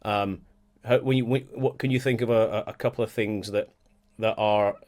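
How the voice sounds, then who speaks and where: Male podcast co-host, lowish voice, halting and wordy, casual interview chat. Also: steady tone, darkish tone. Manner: halting, repeats words while building long questions.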